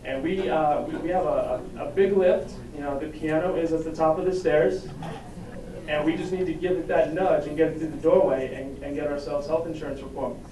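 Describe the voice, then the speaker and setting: A man's voice: on the high side, steady tone, crowd noise. Man speaking in an echoey hall. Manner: halting, frequent restarts. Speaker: volunteer organizer. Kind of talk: public speech at a town hall. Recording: echoey hall recorded from far off.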